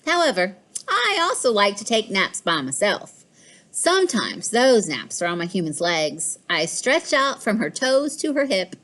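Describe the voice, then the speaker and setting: A woman's voice: mid-range voice, big pitch swings, a little slow, a bit bright. A woman voicing a smug, mischievous pet cat in a storybook, with a boastful, emphatic delivery. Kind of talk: storybook read-aloud.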